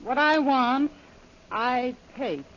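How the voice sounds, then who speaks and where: A woman's voice: high voice, very slow, fairly bright tone, exaggerated voice. An actress playing a dangerous, commanding female villain with a mocking manner, in 1930s radio serial style. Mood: imperious. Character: imperious, dangerous female villain, coolly taunting. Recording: dull lo-fi old radio recording.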